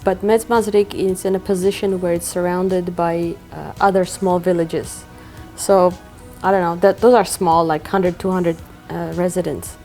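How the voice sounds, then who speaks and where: A woman's voice: fairly low pitch, level tone, normal speed, mellow, faint music behind. A woman charity organizer explaining her work in a matter-of-fact way, with frequent filler uhs. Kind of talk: conversational interview.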